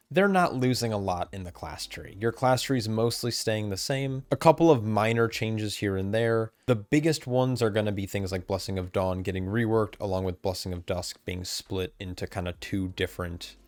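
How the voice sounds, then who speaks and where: Man, lowish voice, losing his voice; casual rambling game commentary, dry close mic. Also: a little mellow, dry recording. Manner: casual, rambling, self-correcting. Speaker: game content creator. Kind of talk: recorded commentary on game changes. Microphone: desk mic, camera off.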